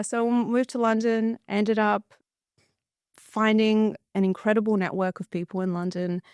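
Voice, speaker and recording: A woman's voice: mid-range voice, clear, resonant tone, dry recording, clean studio sound. A woman in her thirties, raised in Australia, telling her story fluently as a podcast guest. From Bulgaria, raised in Australia. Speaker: guest entrepreneur. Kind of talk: podcast interview.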